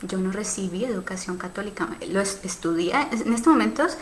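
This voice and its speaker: A woman's voice: mid-range voice, brisk, a little hiss or hum. A woman in her thirties from Cali with a Colombian accent, hosting a casual live stream and answering viewer comments in a reflective, thinking-aloud way with many fillers and tag questions. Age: 30s. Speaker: live stream host on sexuality.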